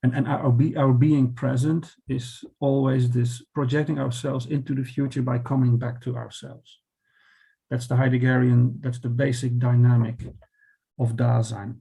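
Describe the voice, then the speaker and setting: A man's voice: mid-range voice, level tone, moderate pace, gravelly, dark tone, slightly rough. A man with a Dutch accent explaining philosophy in conversation, thoughtful and hesitant, often restarting and checking with "right?". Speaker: philosopher guest.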